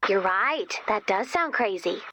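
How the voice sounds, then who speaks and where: A woman's voice: mid-range voice, expressive, a little slow, pushed and bright, no echo. A woman voicing a quirky, chirpy and playful commander character in a video game. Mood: upbeat, wry.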